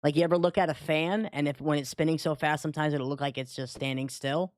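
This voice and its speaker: A man's voice: on the high side, steady tone, quick pace, no echo, clean studio sound. A man on a panel asking casual, analytical questions, often rewording them mid-sentence.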